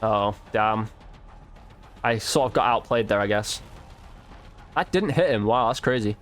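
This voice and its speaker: A man's voice: fairly low pitch, unhurried, a bit bright, faint music behind. A man commentating casually over gameplay as a content creator, chatting informally and thinking aloud.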